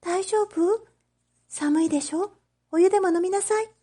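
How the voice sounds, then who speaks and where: A lovestruck merchant's daughter in her mid-teens, played by a woman reading a storybook. Her voice sits very high and soft-edged. She speaks politely and earnestly, with impulsive, open emotion. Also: moderate pace. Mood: gentle concern. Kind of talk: storybook read-aloud acting.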